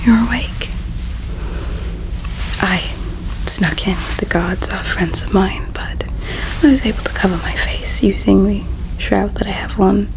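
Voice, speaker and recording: A woman voicing a gentle, resigned alien royal in a breathy, hushed whisper, tender and halting, as in an ASMR roleplay. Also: medium pitch, slight background noise, rough recording. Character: gentle, resigned female alien royal, self-sacrificing and caring.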